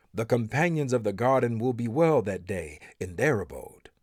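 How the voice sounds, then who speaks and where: Low-voiced man solemnly reading scripture. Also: darkish tone, no room sound, studio quality. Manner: solemn, measured, dramatic emphasis. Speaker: narrator reading scripture translation. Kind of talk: expressive scripture recitation in English.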